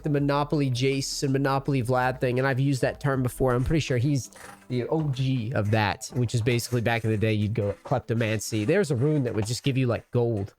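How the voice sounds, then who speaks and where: A man in his thirties giving casual, rambling commentary as a gaming coach, full of slang and swearing. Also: mid-range voice. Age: 30s. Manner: rambling and profane, loose slang. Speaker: League of Legends coach and streamer.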